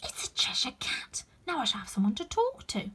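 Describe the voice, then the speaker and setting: A woman's voice: high-pitched, sing-song, fairly quick, bright, forceful tone, husky, whispered, pitched above the performer's own voice, exaggerated voice. A woman voicing a polite, curious, slightly uneasy young girl in a storybook, earnest and plaintive. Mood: uneasy, wondering. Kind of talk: children's storybook read-aloud.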